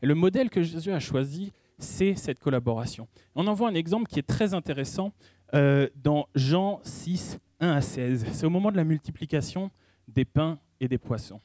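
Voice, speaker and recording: A man preaches in an easygoing, conversational way, often hesitating and restarting his phrases. His voice sits somewhat high for a man but has a mellow, dark tone. The sound is dry and close, with no room echo. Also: animated, normal speed. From French-speaking. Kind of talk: sermon.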